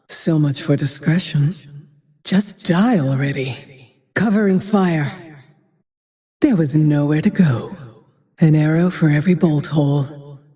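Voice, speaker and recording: A woman playing a cold, vengeful, menacing female archer in a video game, with a whispery edge and slow, icy delivery. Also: low-pitched, warm tone, breathy edge, long reverb tail, exaggerated voice. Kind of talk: video game character voice lines.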